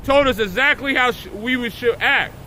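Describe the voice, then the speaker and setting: A man with a slightly raspy voice from a mild sickness, preaching and reading scripture emphatically outdoors. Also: very high voice, bright, forceful tone. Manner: emphatic, drawn-out declaiming when reading verses. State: coming down with a slight illness. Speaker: street preacher livestreaming. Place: outdoors in strong wind. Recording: windy, very noisy outdoor livestream.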